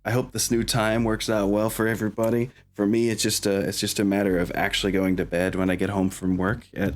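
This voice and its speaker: A man's voice: fairly low pitch, little pitch movement, a little fast. A man hosting as a game master, chatting casually in an upbeat, informal way with players and viewers. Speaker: game master and stream host.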